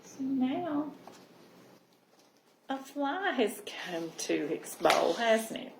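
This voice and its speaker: A woman reading a picture book aloud to young children as a library storyteller, in an expressive manner. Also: sits high, creaky, a little hiss or hum. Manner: expressive, pausing to check understanding with listeners. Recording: roomy, echoing sound.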